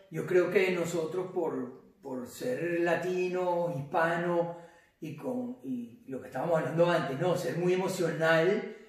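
Higher-voiced Venezuelan man, echoey and distant. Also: a little mellow. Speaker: interview guest. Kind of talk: casual chat. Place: indoor living room. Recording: echoey room, distant from mic.

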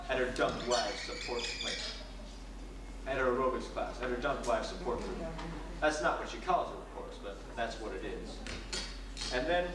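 A young man playing a disillusioned teenage son in a school readers theatre monologue, colloquial and halting. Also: on the high side, close to an everyday voice. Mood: wistful. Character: disillusioned teenage son talking about his divorced parents. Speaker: student actor. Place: school room with wooden floor. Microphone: distant camcorder microphone. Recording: echoey, noisy camcorder from far off.

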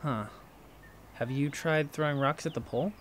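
A man playing an impulsive, curious friend in an audio drama, conversational and quick to get defensive. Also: fairly high pitch, a little hiss or hum, natural voice. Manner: animated protests, inquisitive, defensive. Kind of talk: naturalistic audio drama dialogue.

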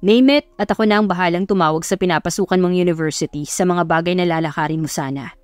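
A woman's voice: lowish voice, fast, darkish tone, no room sound, voice pushed down, natural voice. A commanding young playboy CEO acted out by a woman, curt and impatient in an audio love story. He gives orders and expects them followed. The recording is dry, with faint music underneath.

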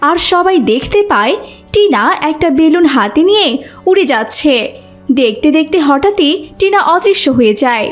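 A woman's voice: high voice, very animated, moderate pace, faint music behind. A woman narrator reading a scripted children's cartoon story.

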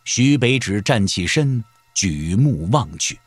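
A man's voice: fairly low pitch, sing-song, slow pace, breathy edge, no room sound. A man narrating a martial-arts audio drama in a scripted, dramatic storytelling style. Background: background music.

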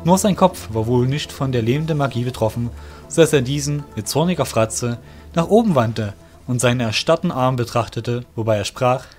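A man narrates a fantasy audio drama from a script. His voice sits at a medium pitch. Music is clearly heard behind him as he tells the story. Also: normal speed, darkish tone.

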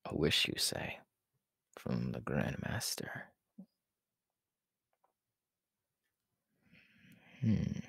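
A man playing a proud, menacing, world-weary demon king in an ASMR roleplay, talking in a deliberate, haughty way that often sinks into breathy whispers. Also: rumbling low, sing-song, fairly bright tone, dry recording, heavily acted. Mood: scheming, guarded. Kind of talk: ASMR audio roleplay acting.

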